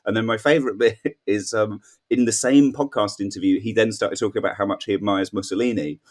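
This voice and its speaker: Low-voiced man telling a comic news story. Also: steady tone, brisk, a little mellow. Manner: rambling storyteller, acts out imagined dialogue. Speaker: comedy panel guest. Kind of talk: casual comic news chat.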